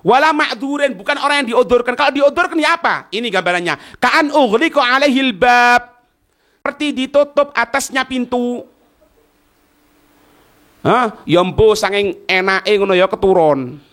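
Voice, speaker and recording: High, animated East Javanese male preacher. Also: moderate pace. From East Java, Indonesia. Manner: asks and answers own questions, checks listeners understand. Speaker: Islamic preacher and teacher. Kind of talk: informal religious lecture explaining a text line by line.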